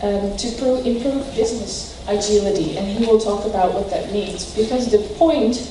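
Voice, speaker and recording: A woman hosting an event, introducing the speaker in a halting way with many fillers. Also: medium pitch, dark tone, slight background noise. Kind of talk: speech introduction. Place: large hall. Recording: echoey hall from far off.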